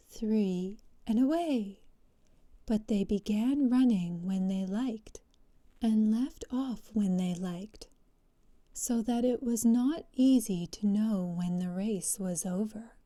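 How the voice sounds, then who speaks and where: A woman storyteller reading a bedtime story in a soothing, measured manner. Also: mid-range voice, mellow.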